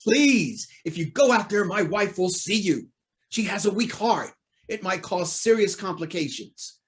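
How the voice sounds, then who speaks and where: An actor playing a proud, anxious, cornered judge in an old-time radio drama, speaking in a formal, indignant, theatrical way. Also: male voice, high-pitched, moderate pace, natural voice. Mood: anxious pleading.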